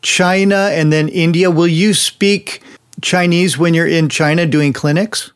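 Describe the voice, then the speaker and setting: A man's voice: on the high side, steady tone, measured pace, clear, resonant tone. A man hosting an interview, speaking in an enthusiastic, friendly way and laughing often. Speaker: interviewer and show host. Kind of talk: interview host.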